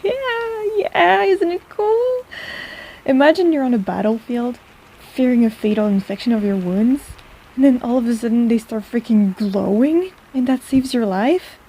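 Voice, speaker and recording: A woman's voice: on the high side, lively intonation, unhurried, warm tone, husky, heavily acted, poor audio. A woman playing a snarky but caring apothecary in an intimate fantasy audio roleplay, chatty and teasing in a casual way. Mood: excited, amazed.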